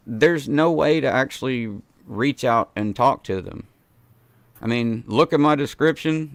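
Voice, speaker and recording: A man in his forties hosting an interview over a video call from a home studio, hoarse from a cold and talking in a laid-back, slangy, earnest way. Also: medium pitch, no echo. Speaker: host. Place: home studio with foam panels. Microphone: studio mic in frame.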